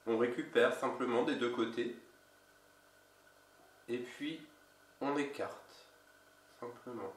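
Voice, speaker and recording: A man with a cold, an energy healer giving a casual instructional video in a slow, halting way. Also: mid-range voice, steady tone, far from the mic. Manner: slow, halting, with many pauses. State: has a cold. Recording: roomy echo, away from mic.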